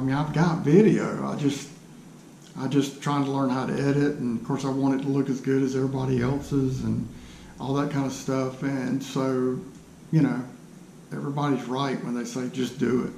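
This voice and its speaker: A man in his sixties speaks with a creaky, mellow voice. He talks casually and haltingly, playing himself down as he goes. The sound is roomy, picked up by a camera in a small workshop. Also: mid-range voice. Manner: halting, hesitant, self-deprecating. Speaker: woodturner introducing his channel. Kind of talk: casual unscripted vlog talk to camera. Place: small cluttered home workshop, indoors. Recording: roomy workshop echo, faint background noise.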